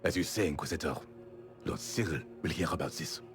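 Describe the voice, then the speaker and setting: An actor playing a haughty, indignant palace official in a video game, formal and scolding. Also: male voice, extremely low pitch, a little fast, music in the background.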